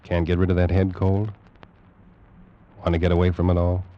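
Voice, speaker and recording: A man announcing a radio suspense drama in an ominous, measured 1940s style, on an old recording. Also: low voice, monotone, lo-fi sound. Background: music.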